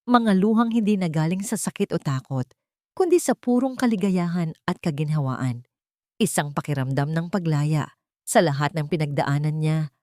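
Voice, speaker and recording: A woman giving an expressive, sentimental scripted narration as the storyteller of a romantic drama. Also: fairly low pitch, no room sound, clean studio sound.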